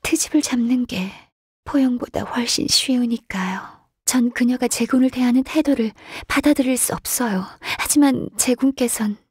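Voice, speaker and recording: Game casting: gentle, dutiful young secretary, softly polite and hesitant, lightly high voice, breathy, very dry recording. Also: female voice, normal speed, no room sound. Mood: calm, earnest. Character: gentle, diligent, shy young woman secretary, half-adeptus. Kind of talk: video game character voice lines.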